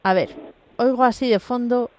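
A woman's voice: a little high, normal speed, a little mellow, poor audio. A woman with a Castilian accent hosting a radio phone-in in a colloquial, exclamatory way. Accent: Castilian Spanish. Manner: colloquial, frequent backchannels and exclamations. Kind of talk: casual phone-in chat. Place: dry radio studio.

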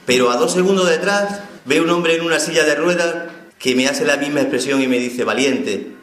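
A man's voice: fairly high pitch, normal speed, a bit bright, reverberant. A man from Spain telling his personal testimony as an inmate, heartfelt and emotional.

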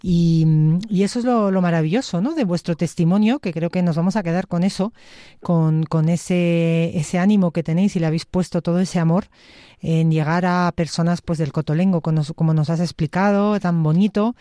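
Mellow-voiced woman hosting radio, close and dry. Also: fairly low pitch, fairly even intonation, moderate pace, no echo. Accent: Spain Spanish. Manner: appreciative, encouraging, rambling. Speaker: radio host. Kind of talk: conversational radio interviewing.

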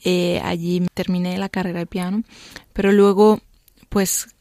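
A woman's voice: on the low side, level tone, unhurried, dark tone, dry recording. A Spanish woman pianist with a faint Italian lilt, chatting thoughtfully as a guest in a radio studio and sprinkling her answers with fillers. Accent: slight Italian lilt on Spanish. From Spain. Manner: thoughtful, explaining with many fillers and "¿no?". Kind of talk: radio interview chat.

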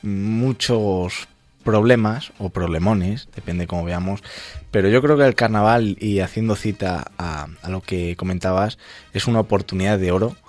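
A man's voice: on the low side, moderate pace, a little mellow. A man hosting a radio show in a conversational commentary style. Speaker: radio host.

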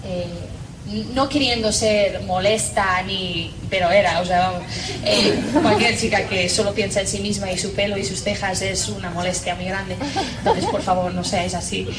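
A woman's voice: mid-range voice, fairly even intonation, normal speed. A religious sister from Northern Ireland with an Irish accent, telling her faith story in a humorous, self-mocking way with many fillers. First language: English. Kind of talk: personal faith testimony. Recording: distant mic in an echoey noisy room.

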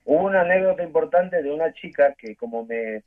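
A man from Ecuador with an Ecuadorian accent, earnestly telling his story as a guest in a phone-in interview, halting and restarting often. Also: on the high side, expressive. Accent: Ecuadorian Spanish. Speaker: guest giving a testimony. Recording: tinny phone line.

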